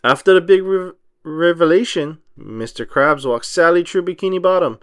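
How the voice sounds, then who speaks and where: A man reading a children's story aloud in an expressive narrating style, acting out the dialogue as he goes. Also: fairly high pitch, moderate pace, clean tone, no room sound, clean studio sound. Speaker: storybook reader.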